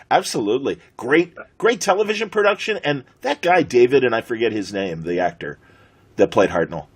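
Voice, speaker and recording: A middle-aged man with an American accent and a husky voice, chatting conversationally as a podcast host. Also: mid-range voice, expressive, moderate pace. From United States.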